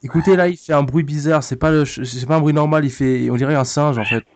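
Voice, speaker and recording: A man's voice: a little high, quick pace, natural voice. A man playing an anxious, bumbling cat owner on a prank phone call, hesitant and stammering, repeating himself. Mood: flustered. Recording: noisy phone prank recording.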